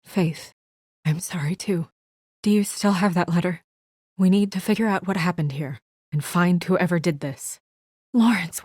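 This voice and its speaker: A woman playing an earnest, weary and conscientious young administrator in a video game, speaking in a measured, serious, sometimes hesitant way. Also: mid-range voice, no echo, clean studio sound, lightly acted. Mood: serious, concerned, then alarmed. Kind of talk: naturalistic video game voice acting.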